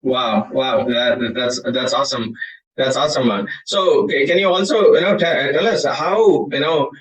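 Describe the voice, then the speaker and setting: A man's voice: mid-range voice, normal speed, far from the mic, low-quality recording. A man hosting an interview over a call, speaking hesitantly with many fillers.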